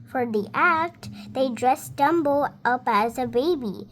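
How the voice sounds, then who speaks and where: A girl of about seven reading a storybook aloud in a careful, halting, word-by-word way. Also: high voice, animated. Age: child, about seven. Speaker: young reader.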